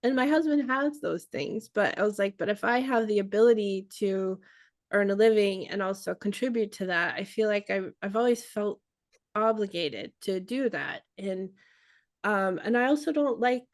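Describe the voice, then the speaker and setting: A woman's voice: mid-range voice, dry recording. Chatty woman in her forties, dry sound. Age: 40s. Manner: chatty, laughs often, many restarts. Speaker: podcast co-host. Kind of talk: casual chat.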